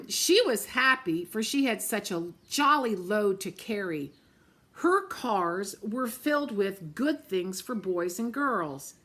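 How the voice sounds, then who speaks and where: A woman, a teacher, reading a picture book aloud at storytime, gently pointing out the pictures and often clearing her throat. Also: mid-range voice, sing-song, takes it slowly. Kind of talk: storytime read-aloud to children.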